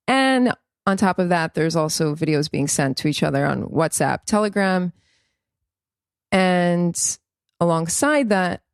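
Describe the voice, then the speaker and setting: A woman's voice: on the low side, a little mellow, clear, resonant tone, no room sound, studio quality. A woman from Israel co-hosting a podcast, explaining at length in an earnest, rambling way with restarts. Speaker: podcast co-host. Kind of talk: casual podcast chat.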